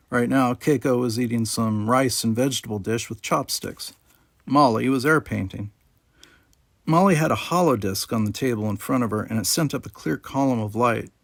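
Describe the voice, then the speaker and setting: A man's voice: medium pitch, dry recording, studio quality. A man reading a story aloud as scripted audiobook narration. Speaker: amateur audiobook reader.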